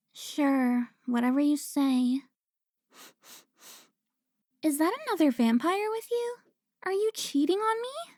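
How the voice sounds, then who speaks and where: A woman's voice: high-pitched, animated, darkish tone, dry recording, clean studio sound. A woman playing a teasing, pouty, centuries-old vampire who looks twenty, in a cinematic audio roleplay. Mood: playful, teasing. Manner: playful, pouty, drawn-out teasing.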